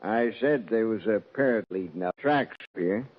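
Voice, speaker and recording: Suspicious, animated Old West sheriff. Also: male voice, fairly low pitch, measured pace, husky. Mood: gruff, suspicious. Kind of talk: old-time radio western acting. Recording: dull old radio recording.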